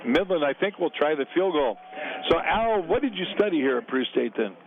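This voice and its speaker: A man calling a college football game on radio from a stadium press box, brisk on the plays and relaxed when chatting with guests. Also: fairly high pitch, creaky. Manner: brisk play calls mixed with relaxed booth chat. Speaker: radio play-by-play announcer. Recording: narrow phone-like radio broadcast.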